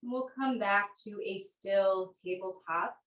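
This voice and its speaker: A woman teaching a yoga class, giving gentle, unhurried guided instructions. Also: mid-range voice. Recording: echoey room, distant microphone.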